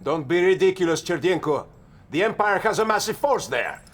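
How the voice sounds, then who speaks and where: Scornful Russian general, dramatically swooping voice. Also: male voice, sits high, lively intonation, moderate pace. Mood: dismissive. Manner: sardonic, blustering, disdainful. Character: stern, scornful Soviet army general. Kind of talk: dramatic video game cutscene acting.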